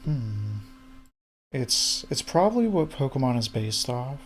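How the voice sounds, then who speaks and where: A man's voice: mid-range voice, big pitch swings, a little slow, slight background noise. Hesitant man of thirty chatting. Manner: hesitant, trailing off with fillers. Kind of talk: casual chat.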